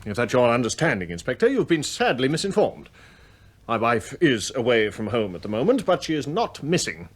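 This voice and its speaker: An actor playing an impatient, haughty, well-off English husband in a radio play, speaking in a clipped, formal, indignant way. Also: male voice, medium pitch, very animated, brisk.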